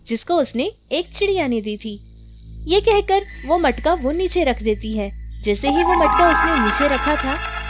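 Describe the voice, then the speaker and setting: High-pitched woman narrating children's stories. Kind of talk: scripted children's story narration. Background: music.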